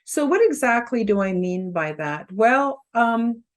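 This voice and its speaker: A woman around seventy with a loud, carrying voice, giving a casual self-help talk with fillers and emphatic rises. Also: fairly high pitch, big pitch swings, a little slow. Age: about 70. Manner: loud voice that carries, outspoken. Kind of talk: casual spoken presentation to camera.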